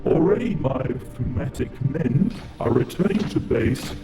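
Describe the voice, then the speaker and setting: A man's voice: medium pitch, animated, a little slow, reverberant, recorded from a distance, over music. A man playing a cold, menacing alien robot commander bent on conquest in an audio drama, speaking in formal, grandiose villain style. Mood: smug, calculating.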